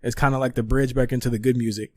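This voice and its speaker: A man's voice: mid-range voice, flat delivery, racing, no room sound. A man hosting a podcast, asking questions in a casual, slangy, profane conversational style. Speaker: podcast host. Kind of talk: casual chat interviewing.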